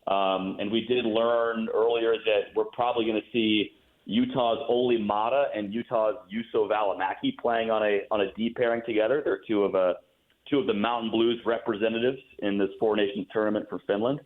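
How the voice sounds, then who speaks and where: A man's voice: fairly low pitch, fairly even intonation, brisk. A young man, a hockey announcer, chatting casually as a guest over a narrow phone connection. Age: young adult. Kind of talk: casual sports interview chat. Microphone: phone call. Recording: narrow tinny phone line.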